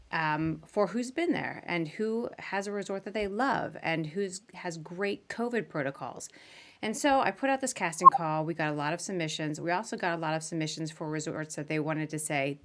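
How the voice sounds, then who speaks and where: A woman's voice: lowish voice, fairly bright tone. A woman hosting a podcast in a chatty, informal way, as in a casual live interview. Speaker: podcast host.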